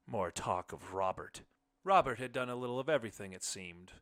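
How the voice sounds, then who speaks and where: A man narrating a literary short story in the first person, with measured phrasing. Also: lowish voice, animated, a bit bright. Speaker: audiobook narrator.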